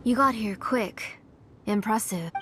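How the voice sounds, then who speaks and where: A woman playing an outwardly polite but controlling, secretive mother in a video game, composed and deliberate. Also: on the high side, sharp tone, slight background noise. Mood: cold resolve. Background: background music.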